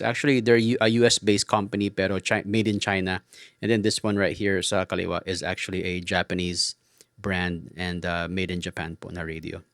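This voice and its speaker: Filipino guy, middle aged, lowish voice, dry close sound, casually explaining gear, polite and a bit hesitant, mixes two languages. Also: no room sound. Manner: hesitant, polite, switches between two languages mid-sentence. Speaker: presenter explaining radio gear. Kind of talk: casual explanatory product talk.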